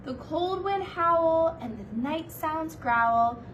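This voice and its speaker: A woman with a high, very expressive voice reads a children's storybook at an unhurried pace. She sounds like a kindergarten teacher, animated and playful, dropping little asides to her young listeners. She is recorded a little way from the microphone. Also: recorded from a distance, muffled recording, a little hiss or hum. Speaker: kindergarten teacher reading a picture book.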